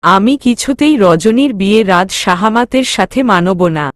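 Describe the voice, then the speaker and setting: A woman's voice: medium pitch, expressive, unhurried, dry recording, clean studio sound, close to an everyday voice. A woman voicing a hot-headed, stubborn, lovesick young son who speaks insistently and defiantly in an audio story.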